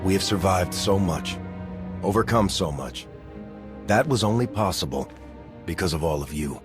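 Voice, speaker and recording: A veteran war commander from a video game. His voice is very low and expressive, earnest and resolute, over prominent music. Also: moderate pace. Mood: solemn, earnest. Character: steadfast gruff male military commander, veteran war hero. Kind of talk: video game cutscene acting.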